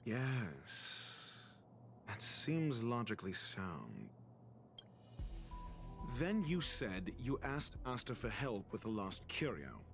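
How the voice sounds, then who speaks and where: An actor playing an arrogant, impatient male scholar in a video game, questioning with condescension and sarcasm. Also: mid-range voice. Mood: measured, doubtful. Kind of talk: scripted video game voice acting.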